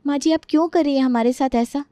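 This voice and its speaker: A woman's voice: high-pitched, quick pace, mellow, no echo, studio quality, lightly acted. A woman playing a young daughter-in-law in a family story, sarcastic, resentful and aggrieved.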